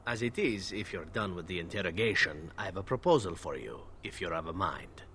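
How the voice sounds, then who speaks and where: An actor voicing a charming, glib male elf assassin in a video game, smooth and playfully teasing. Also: low-pitched, expressive, fairly quick, a bit bright, a little hiss or hum. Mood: sly. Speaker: game character.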